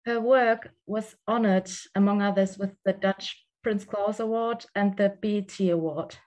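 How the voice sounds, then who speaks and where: A woman's voice: mid-range voice, fairly even intonation, darkish tone, rough recording. A young woman moderating an online panel, introducing the speakers with frequent hesitations, over a headset on a video call. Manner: halting, with fillers.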